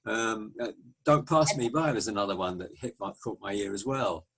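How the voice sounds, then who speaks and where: A man's voice: lowish voice, normal speed, a bit bright, slightly rough, rough recording. A man hosting a radio interview, chatting casually and agreeing often with short replies. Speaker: radio presenter.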